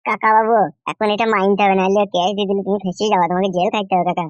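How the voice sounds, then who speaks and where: Man voicing a high, rapid defiant cartoon young man. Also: little pitch movement, no room sound, highs cut off, lightly acted. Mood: reasoning. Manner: argumentative, crude, insistent. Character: defiant, whiny young nephew with runaway wife. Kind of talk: comic cartoon dialogue.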